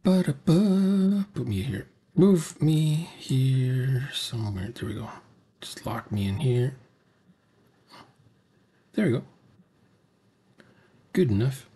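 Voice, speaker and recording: A man's voice: medium pitch, slow, long pauses, dark tone, husky. A man in his sixties giving casual, off-the-cuff reaction commentary, muttering asides as if talking to himself. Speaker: reaction video host. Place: cluttered home room, indoors.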